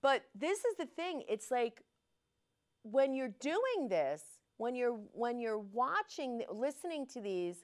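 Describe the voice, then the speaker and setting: Animated woman hosting an interview. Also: on the high side, slow pace. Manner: animated storytelling, enthusiastic. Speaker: interview host. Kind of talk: casual interview talk.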